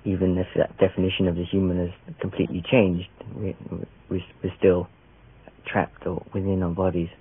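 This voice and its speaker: A man's voice: low voice, level tone, moderate pace, breathy edge. A man who edits a magazine and is an artist, answering interview questions hesitantly, with many restarts. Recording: tinny phone-like radio sound.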